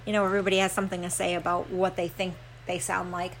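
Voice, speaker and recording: A woman with a Rhode Island accent co-hosting a casual podcast, chatty and quick to laugh, with plenty of fillers. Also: on the low side, little pitch movement, a little hiss or hum. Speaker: podcast co-host. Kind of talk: casual chat.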